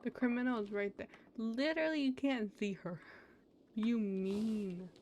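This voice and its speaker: A woman's voice: fairly high pitch, measured pace, darkish tone, slight background noise. Informal young woman streaming a game. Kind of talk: casual live gaming commentary.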